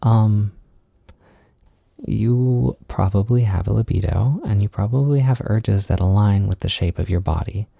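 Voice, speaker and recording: A man with a lowish, deeply mellow and dark voice talks as if offering comfort one to one. He is gentle and halting, a little self-deprecating, with a gravelly fry at the edges of his words. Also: no echo, studio quality. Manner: gentle, reassuring. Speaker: audio creator giving comfort and validation. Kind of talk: intimate, informal comfort monologue.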